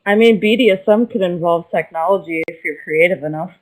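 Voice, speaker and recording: A chat participant who keeps cutting in with crude, deadpan trolling lines in a casual voice chat. The voice sits mid-range and the delivery stays flat and unbothered. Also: mid-range voice, a little fast, rough recording.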